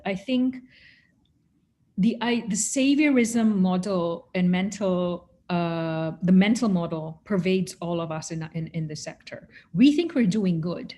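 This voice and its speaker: A middle-aged woman from Malaysia speaking as a panelist in an online discussion, earnest and emphatic, often halting and repeating words as she reflects. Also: lowish voice, a little mellow, slight background noise. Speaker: humanitarian professional, panelist. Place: remote online webinar.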